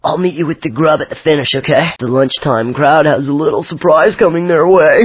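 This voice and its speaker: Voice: medium pitch, a little fast, husky, no echo, muffled recording, close to an everyday voice. An actor voicing a laid-back young hippie surfer dude announcer in a racing video game, drawling in easygoing slang. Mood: mellow, encouraging. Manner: drawling, mellow slang, easily amused.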